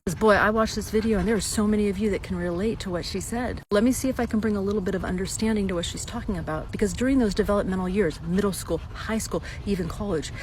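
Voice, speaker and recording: A woman's voice: mid-range voice, fast, few pauses, darkish tone, slight background noise, rough recording. A woman talking earnestly through relationship advice while out of breath on an outdoor hike. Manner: earnest, explaining step by step. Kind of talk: casual advice talk.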